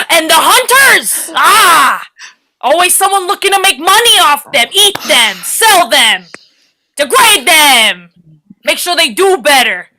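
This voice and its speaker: A woman's voice: very high-pitched, big pitch swings, slow pace, pushed and bright, full character voice, low-quality recording. A woman on stage playing a weary but playfully inventive woman sifting through fire ruins, in naturalistic stage acting. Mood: animated. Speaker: actor in a staged play reading.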